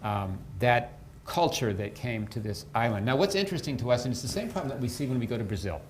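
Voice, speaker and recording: A man's voice: medium pitch, expressive, quick pace. A man giving an unscripted academic lecture, explaining his points step by step. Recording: faint background room noise.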